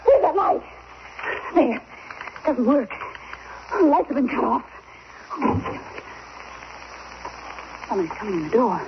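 A game young heroine of a vintage radio mystery. She is expressive and ladylike, with a high voice. The old recording is dull and noisy. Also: moderate pace. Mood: frightened. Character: game young woman, sleuth's companion, nervous but willing. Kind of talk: 1940s radio acting.

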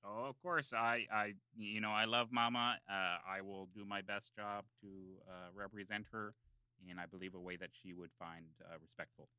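A man's voice: lowish voice, fairly even intonation, normal speed, sharp tone, clear, resonant tone, no echo, low-quality recording. A man co-hosting a comedy podcast, speaking with hammy, salesman-like emphasis. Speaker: podcast co-host. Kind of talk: comedy ad read and casual chat.

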